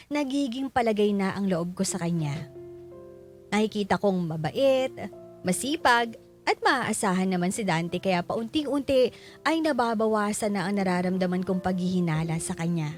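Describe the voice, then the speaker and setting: Actress as a filipino wife telling her own story, reflective radio drama narration, mid pitch, music underneath. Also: normal speed, lightly acted. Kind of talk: scripted first-person radio drama narration.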